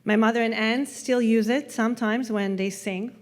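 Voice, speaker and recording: A woman from Israel whose first language is Hebrew, giving a prepared festival talk in a reflective, measured way, letting sentence endings trail off softly. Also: fairly high pitch, fairly even intonation. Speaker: festival speaker. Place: festival stage.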